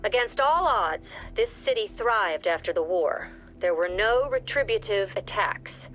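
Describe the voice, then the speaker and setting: A woman playing a stern, composed director of a security agency, giving formal, measured briefings in a video game. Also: medium pitch, expressive, a bit bright, low-quality recording. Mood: grave, resolute. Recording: music behind the voice.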